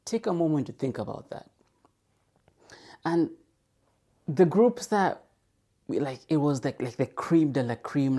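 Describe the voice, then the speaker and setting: A man's voice: mid-range voice, mellow. A man in a casual, reflective solo podcast monologue, thinking aloud, repeating phrases for emphasis and asking rhetorical questions. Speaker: podcast host.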